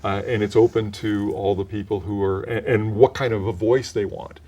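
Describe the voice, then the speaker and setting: An older man, a retired professional turned voice actor, with a mellow voice, answering interview questions in a measured, explanatory way with frequent restarts. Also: lowish voice, steady tone. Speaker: voice actor guest.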